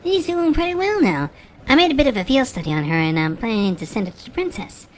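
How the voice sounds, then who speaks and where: A woman voicing an anxious, bookish young female unicorn pony in an animated fan drama, dramatic and emphatic. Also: fairly high pitch, fast, a little hiss or hum. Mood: matter-of-fact. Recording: music behind the voice. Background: background music.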